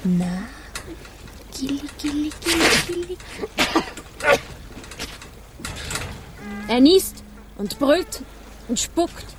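An actress playing an aloof, defiant ship's crew member in a radio drama, speaking formally and provocatively. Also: female voice, high voice, very animated, slow pace, background noise, heavily acted. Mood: teasing. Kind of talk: stage-like radio drama acting.

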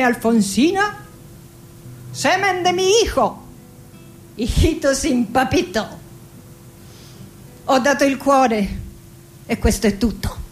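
An actress voicing a passionate, defiant woman poet in a theatrical radio monologue, with slow, dramatic delivery and long pauses. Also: sits high, slight background noise. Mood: brooding, defiant. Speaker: actress and singer. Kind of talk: theatrical poetic radio monologue with music, code-switching, partly sung.

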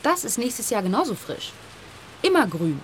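Curt bossy practical woman in a radio drama, very animated pitch, argues, rainy background. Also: moderate pace. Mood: argumentative. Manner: curt, argumentative. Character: brisk, contrary woman, practical and impatient. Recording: rain noise behind the voice.

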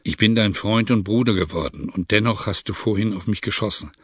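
A brooding tribesman speaks in a lowered, reproachful voice, as a male narrator plays him. He sounds wary and sullen. The reading is close and dry. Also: a little fast, a bit bright, husky, no echo. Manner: gloomy, reproachful. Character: gloomy Kurdish tribesman, wary adult man.

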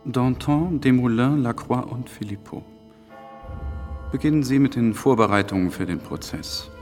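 An actor playing a cold, imperious revolutionary official, curt and quietly menacing, in a radio drama. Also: male voice, mid-range voice, normal speed, in a large hall, faint music behind, close to an everyday voice. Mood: cold command. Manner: curt, commanding.

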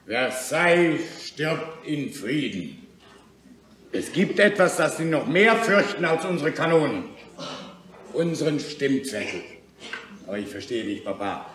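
Lively, earnest male revolutionary. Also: on the high side, a little slow. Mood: earnest, encouraging. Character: idealistic revolutionary man, earnest and encouraging. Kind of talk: radio drama acting. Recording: echoey room.

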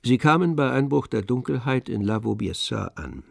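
A man narrating a literary radio drama in a measured storytelling manner. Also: medium pitch, animated, vocal fry, no room sound, clean studio sound.

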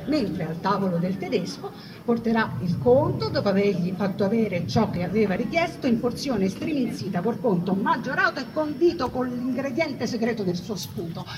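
A woman performing an ironic, colloquial storytelling monologue with dramatic pauses and emphasis. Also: on the high side, expressive. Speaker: stage monologuist. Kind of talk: live theatrical storytelling monologue. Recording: distant mic, noisy live recording.

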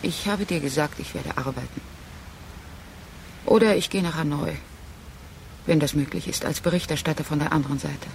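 A woman's voice: deep voice, moderate pace, vocal fry, long pauses. An actress in a literary radio drama playing a cool, resolute intellectual woman parting from her lover in terse, matter-of-fact statements. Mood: resolute, reproachful. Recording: faint noise behind the voice.

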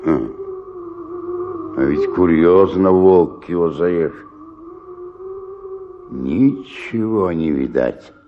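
An old, drunken theatre actor, weary and full of self-pity. He drags his words very slowly in a low voice, groaning and mocking himself. It is theatrical radio drama with a slightly dull sound. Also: noisy background, exaggerated voice. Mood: weary, resigned. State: hungover.

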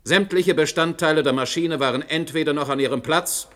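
An actor playing a stern, coldly logical presiding judge in a German radio play, pedantic, clipped and commanding in manner. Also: male voice, a little high, a little fast, bright, forceful tone. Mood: stern, authoritative.

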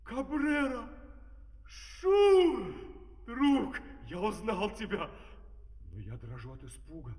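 An actor playing a downtrodden, timid and servile menagerie keeper in a theatrical fairy-tale opera, with a mournful streak. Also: male voice, high voice, lively intonation, slow pace, pauses often, darkish tone, full character voice. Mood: startled, fearful. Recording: echoey hall, old vinyl record.

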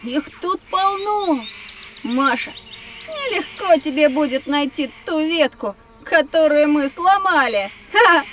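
A woman playing a lively, cheeky, excitable young boy in a children's audio drama. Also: sits high, slow pace, recorded from a distance, slight background noise, exaggerated voice. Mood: amazed, teasing. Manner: excitable, teasing, exclamatory.

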